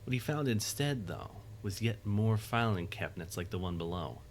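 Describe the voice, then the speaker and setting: A man with a low voice narrates a dramatic story. He reads scripted prose as the storyteller of an audio drama. Faint noise and ambience sit behind him. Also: lively intonation, normal speed.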